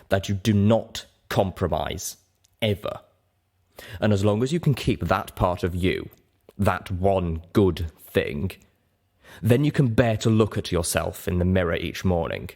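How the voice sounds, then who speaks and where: Man voicing a grim, slow, low-pitched pony merchant. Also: a little mellow. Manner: slow, deliberate, bitterly confessional. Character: weary, grim male pony merchant and father. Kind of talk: audiobook character voice.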